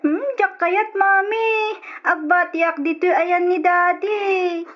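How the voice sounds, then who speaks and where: An actress voicing a small, plaintive daughter in an old-style radio drama, childlike, questioning and whiny. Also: female voice, very high-pitched, steady tone, slow pace, a little hiss or hum. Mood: pleading. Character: small whining daughter clinging to her father. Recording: dull lo-fi radio drama recording.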